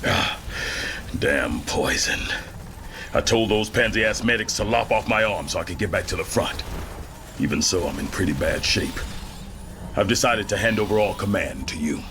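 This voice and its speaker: Deep-voiced crusty American general. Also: male voice, expressive, normal speed, noisy background. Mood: weary, stern. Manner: blunt, salty, commanding. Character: crusty, proud older male military general. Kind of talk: video game cinematic acting.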